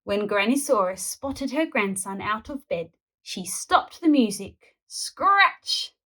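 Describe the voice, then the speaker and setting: A woman storyteller reading a children's picture book aloud in an animated narrating style. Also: mid-range voice, measured pace.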